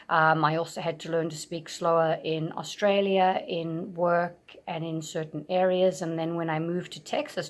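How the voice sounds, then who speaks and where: A woman from gives a loose, chatty lesson straight to camera. Her voice sits a little low, and she fills her pauses with ums and uhs as she circles back to restate her points. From South Africa. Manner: chatty, many fillers and restarts. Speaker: English teacher. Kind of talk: casual talk to camera.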